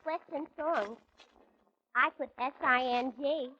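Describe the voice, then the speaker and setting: A woman's voice: high voice, takes it slowly, slight background noise. A muffled old radio recording of an eager young tavern gal. She, in period comedy style. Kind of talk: 1940s radio comedy acting.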